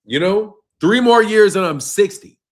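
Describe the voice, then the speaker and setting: A man, hosting a boxing channel live stream and talking loosely and emphatically, dropping low at the ends of phrases. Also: animated, a little slow. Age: 50s. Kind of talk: casual live-stream rant reading chat.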